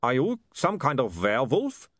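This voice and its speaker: Guy doing a kindly odd formal man for an audiobook, earnest and careful with words, highish, dry recording. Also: very animated, moderate pace, husky, no echo. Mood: curious.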